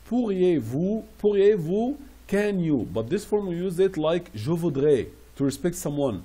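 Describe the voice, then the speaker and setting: A man teaching French classroom phrases, repeating each slowly and translating it into English. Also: high voice, sing-song, mellow, breathy edge. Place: Riyadh.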